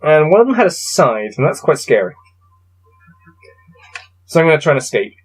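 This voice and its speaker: A man reading a gamebook aloud and adding low-key, wry muttered commentary as he plays. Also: big pitch swings, moderate pace, slightly rough, soft background music. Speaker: solo gamebook player and reader.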